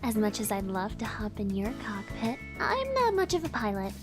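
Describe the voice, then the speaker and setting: An eager young woman who builds and tinkers with robots. Her voice is high and brainy-sounding, in anime game style, with clear music playing behind it. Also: wildly swooping pitch, normal speed. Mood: excited, proud. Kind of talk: anime visual novel voice acting.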